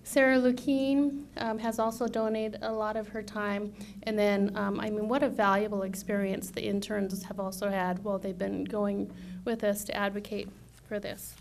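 Brief: woman, 30s, conference speaker, soft voice, persuasive and lively, podium mic in a meeting room. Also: medium pitch, normal speed, vocal fry, hardly any pauses, slight background noise. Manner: energetic, persuasive, rhetorical questions. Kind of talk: conference presentation. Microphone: podium microphone.

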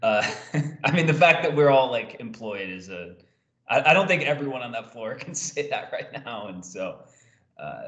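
A man's voice: medium pitch, big pitch swings, moderate pace, fairly bright tone, reverberant. A young man hosting a sports show with casual interview chat over a video call. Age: young adult. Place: indoor room on a video call. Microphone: video call microphone.

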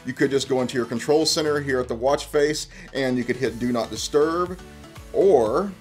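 A man in his thirties presenting a how-to tutorial in a conversational, step-by-step way. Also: medium pitch. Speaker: tech presenter. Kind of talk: casual how-to tutorial narration.

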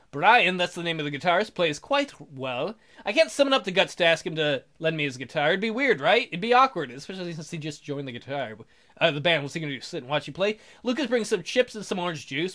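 A man's voice: a little high, bright, forceful tone. Casual man reading over guitar music. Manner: informal, self-interrupting. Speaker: let's play host. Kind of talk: reading visual novel narration with casual commentary.

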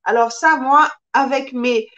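A woman's voice: high-pitched, highs cut off. A woman in her thirties teaching a live-streamed French lesson, speaking carefully and expressively, recorded by a webcam in a small room. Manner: slow, careful, expressive teacher with lots of hand gestures. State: occasional coughing from pollen allergies.

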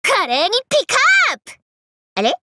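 Young gyaru game heroine, very high voice, wildly animated, playful, exuberant, slangy. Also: female voice, fairly quick, slight background noise, full character voice. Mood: excited, playful. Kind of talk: anime mobile game battle voice lines.

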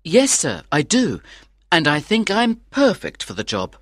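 A man acting an eager, animated French manservant. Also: a little high, unhurried, dry recording, clean studio sound, heavily acted. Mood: obliging. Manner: polite, deferential, eager. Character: earnest, eager French manservant of about thirty. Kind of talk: dramatized audiobook acting.

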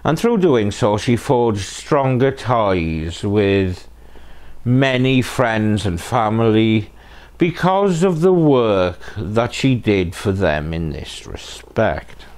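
A man's voice: lowish voice, big pitch swings, takes it slowly, darkish tone. A middle-aged man hosting a true crime show, narrating from a script phrase by phrase, with playful asides. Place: living room sofa, indoors.

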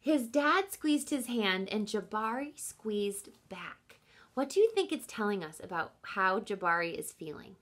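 A woman's voice: mid-range voice, measured pace. A woman in her thirties reading a picture book aloud as a teacher, expressively, stopping to explain and question young listeners directly. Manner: expressive, instructive, addressing children directly.

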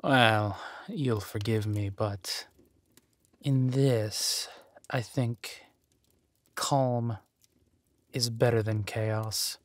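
Guy doing a haughty evil duke, slow menacing drawl, very dry close sound, audio roleplay. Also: lowish voice, fairly even intonation, no echo. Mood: sly, scheming. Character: scheming, ruthless, haughty villain duke. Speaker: voice actor. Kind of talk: villain roleplay audio drama.

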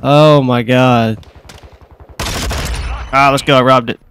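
A young man with a stuffy, slightly nasal voice from a cold, talking through his own game. He mutters low and then bursts into excited yelps, all over constant loud gunfire and game noise. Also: slow. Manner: reactive, swings from mutters to excited shouts. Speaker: gaming streamer. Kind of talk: casual gameplay commentary.

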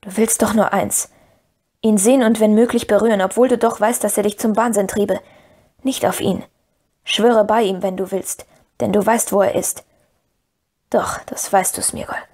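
A woman voicing a stern, commanding yet pitying male hobbit in a fantasy story, speaking in a firm, measured way. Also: mid-range voice, fairly even intonation, a little mellow, slightly rough, natural voice. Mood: stern, solemn.

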